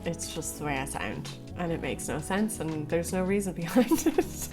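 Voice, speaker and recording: A woman's voice: on the low side, animated, normal speed, darkish tone, faint music behind. A young woman from Ireland with a slightly American-tinged accent, chatting casually and rambling through viewer questions. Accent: slightly American-tinged Irish. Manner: rambling, light laughs, filler-heavy. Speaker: plant vlogger. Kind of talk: chatty Q&A while repotting.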